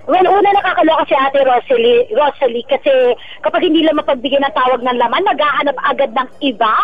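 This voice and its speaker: Muffled, high-pitched Filipino woman radio host. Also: fast talker, soft background music. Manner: playful, giggly, preachy when advising. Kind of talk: casual radio advice with banter.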